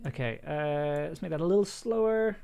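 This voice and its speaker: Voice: mid-range voice, normal speed, vocal fry, dull audio, heavy background noise. An enthusiastic audio producer chatting casually and effusively on a livestream while editing. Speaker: audio drama producer and streamer.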